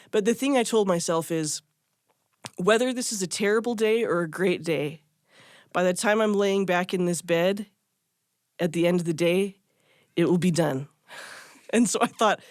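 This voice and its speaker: A woman's voice: medium pitch, moderate pace, long pauses, no room sound, clean studio sound. A woman telling a personal story in a casual, candid, self-deprecating way, like an interviewee. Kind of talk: casual conversational anecdote.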